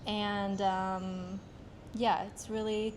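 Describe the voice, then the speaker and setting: A woman's voice: medium pitch, level tone, drawn-out words, slight background noise. A young American woman answering interview questions casually, with many fillers and hesitant phrasing. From United States. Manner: soft-spoken, filler-heavy, hesitant phrasing. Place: outdoors on a street in Japan.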